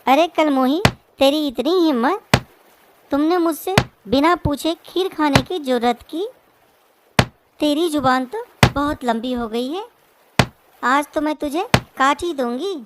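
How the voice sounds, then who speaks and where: A woman's voice: sits high, a little slow, long pauses, very noisy. A woman voicing a cruel, scolding bird mother in a moral-story cartoon. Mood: angry, threatening. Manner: scolding, harsh.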